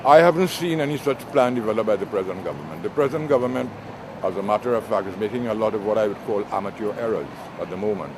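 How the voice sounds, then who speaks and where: An older man from Trinidad with a Trinidadian accent, giving political commentary as an interview guest in a measured, deliberate way. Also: on the low side, animated, background noise.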